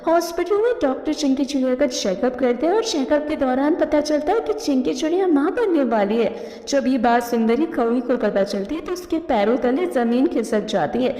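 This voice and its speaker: High, mellow woman narrating cartoon tales. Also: animated, normal speed. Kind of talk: scripted storytelling narration for cartoon. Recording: echoey reverberant voice-over.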